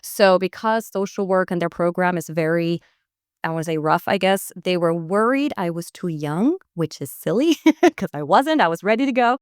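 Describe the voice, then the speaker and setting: A woman in her thirties from Switzerland, an actress talking as a guest in a podcast interview, upbeat and quick to laugh. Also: on the low side, expressive, clean tone, no room sound, clean studio sound. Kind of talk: casual interview talk.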